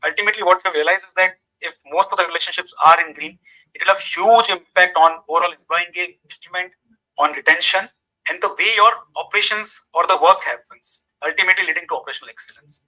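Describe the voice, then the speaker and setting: A man's voice: sits high, fast, pushed and bright. A man on a discussion panel, talking fluently about work and the organization, heard from a distance. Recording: distant from the mic.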